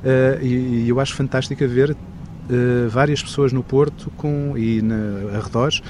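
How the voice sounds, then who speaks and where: A man's voice: mid-range voice, fairly even intonation, measured pace, background noise. Hesitant male interviewer. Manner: hesitant, many fillers and restarts. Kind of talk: conversational interview.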